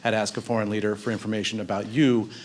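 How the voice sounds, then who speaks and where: Casting: male reporter, very quick delivery, lowish voice, formal press conference questioning. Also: little pitch movement, slight background noise.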